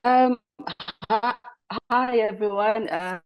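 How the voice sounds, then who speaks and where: A woman's voice: on the high side, slow pace, smooth, poor audio. A Ugandan woman from Kampala sharing casually on an online call. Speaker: community member sharing.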